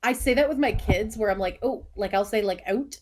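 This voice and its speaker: A woman's voice: mid-range voice, fairly quick, darkish tone, a little hiss or hum. A woman from Maine with a Maine accent, a teacher co-hosting a casual chat podcast, chatty and often talking over her co-host.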